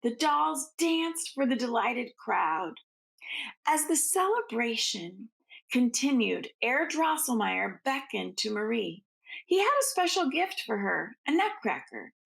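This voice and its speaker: A woman reading a storybook aloud to children as a teacher, with expressive, deliberate phrasing and informal asides. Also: on the high side, creaky, poor audio.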